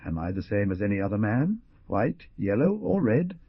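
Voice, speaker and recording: A man's voice: lowish voice, big pitch swings, normal speed, slightly rough, dry recording, full character voice. A man voicing a newly freed man who is overjoyed and grateful, speaking in fervent, pleading exclamations. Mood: eager. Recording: dull lo-fi recording.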